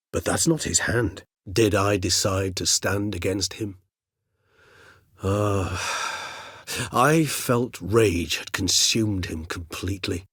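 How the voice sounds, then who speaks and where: An actor playing an urbane, erudite ancient male vampire in a video game, speaking in eloquent, formal, precise phrases with a wryly measured manner. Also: lowish voice, breathy edge, dry recording, clean studio sound, exaggerated voice. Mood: grave, earnest. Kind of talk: video game character acting.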